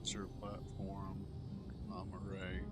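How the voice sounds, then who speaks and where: A man with a fairly low voice talks his way casually through a video game, musing aloud as a streamer does. Music plays clearly behind him. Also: completely flat delivery, drawn-out words. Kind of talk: casual gameplay commentary.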